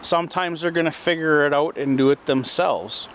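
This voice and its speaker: A man giving a slow, earnest self-help talk that circles back over the same points. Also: fairly high pitch, fairly bright tone, a little hiss or hum.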